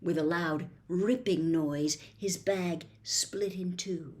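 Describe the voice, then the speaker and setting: A woman narrating a children's novel aloud, reading expressively and dropping to a hush in quiet moments. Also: lowish voice, steady tone, takes it slowly. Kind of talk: storybook read-aloud.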